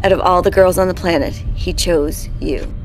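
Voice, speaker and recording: A woman as a low-voiced, coaxing witch aunt. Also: steady tone, normal speed, soft background music, natural voice, rough recording. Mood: eerily soothing. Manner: coaxing, reassuring. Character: eerily soothing witch aunt, devoted to a cult. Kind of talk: audio drama acting.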